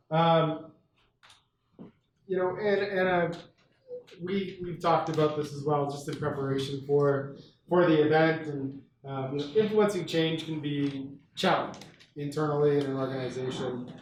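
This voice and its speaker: A man with a big, projecting voice moderates a panel in a large event room. He talks loudly, leaning on ums and restarts. The sound is very echoey and caught from a distance. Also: on the high side, moderate pace, a little hiss or hum. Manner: loud projecting voice with many fillers. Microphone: handheld mic.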